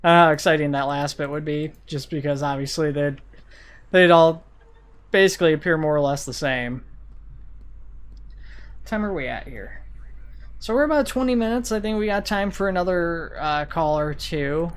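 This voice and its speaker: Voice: medium pitch, normal speed, long pauses, smooth. A video game streamer giving casual, rambling commentary while thinking aloud. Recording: vehicle and siren noise behind voice. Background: game sirens and vehicle sounds.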